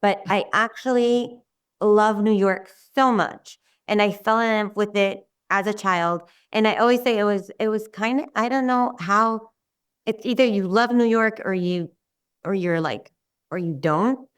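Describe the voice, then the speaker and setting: A middle-aged Israeli woman whose first language is Hebrew, talking as an interview guest in a warm, rambling way with many restarts. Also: mid-range voice, a little slow, smooth, distant mic. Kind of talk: casual interview talk.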